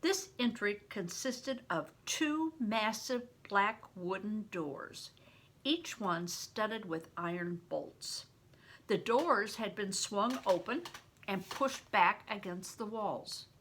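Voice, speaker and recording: Older woman reading aloud, slightly husky, bright. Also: medium pitch, animated, slow. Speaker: storybook reader, grandmother. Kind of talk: read-aloud audiobook narration with plain asides.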